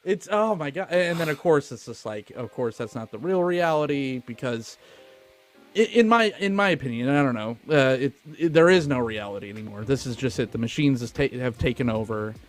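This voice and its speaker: A man hosting a podcast, talking casually and profanely about a film in an animated, informal way. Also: medium pitch, a little fast, faint music behind. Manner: animated, informal retelling with acted-out asides. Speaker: podcast host.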